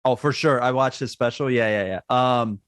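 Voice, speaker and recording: A man's voice: mid-range voice, moderate pace, a bit bright, no room sound, clean studio sound. A man in his forties with a Persian accent, who grew up in Iran, hosting a casual podcast chat full of fillers and repeated yeahs. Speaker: podcast host.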